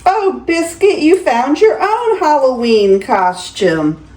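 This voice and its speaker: A cheerful little girl from a picture book, voiced by a grandmother reading aloud, calling to her puppy in a high, lilting, sing-song voice. She lingers on every word, very slowly. The sound carries a roomy echo. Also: animated. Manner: bright, coaxing, sing-song.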